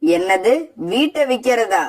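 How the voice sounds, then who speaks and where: A woman voicing a young male bird student in a children's cartoon, earnest and quick to protest. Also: medium pitch, expressive.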